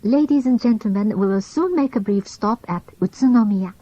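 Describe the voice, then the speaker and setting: A woman reading scripted onboard train announcements in a formal, measured way. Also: medium pitch, dark tone. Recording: dull lo-fi old cassette tape.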